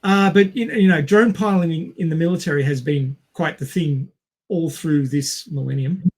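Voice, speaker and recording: A man with a fairly high-pitched voice co-hosts a casual movie discussion. He explains at length and wanders into tangents, often pausing to find the next word. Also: darkish tone. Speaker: podcast co-host.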